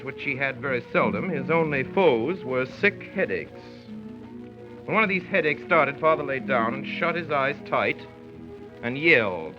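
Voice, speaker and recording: An actor playing a grown son narrating family memories, wry and measured, in 1930s radio style. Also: male voice, mid-range voice, natural voice. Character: grown son wryly recalling his domineering father. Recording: dull 1930s radio recording with music.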